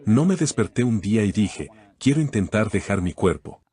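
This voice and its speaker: A man from Canada, a guest in an interview, answering questions in a calm, methodical, explanatory way. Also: fairly low pitch, normal speed.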